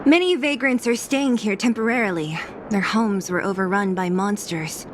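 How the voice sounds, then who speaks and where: An actress playing a tough, no-nonsense young resistance fighter in a game cutscene, direct and matter-of-fact. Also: female voice, medium pitch, normal speed, a little hiss or hum, close to an everyday voice. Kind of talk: video game cutscene acting.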